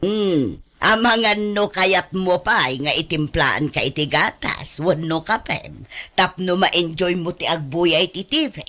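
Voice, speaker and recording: A woman's voice: fairly low pitch, measured pace, fairly bright tone. A woman playing a doting, possessive elderly mother who is sarcastic and fussing, in an Ilocano radio drama. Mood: sarcastic, scolding.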